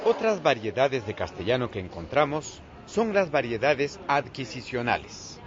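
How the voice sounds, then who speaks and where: A man giving scripted narration for an educational video in a clear, measured way. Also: mid-range voice, very animated, crowd noise. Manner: clear, measured explanatory delivery. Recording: dull lo-fi voice-over with music.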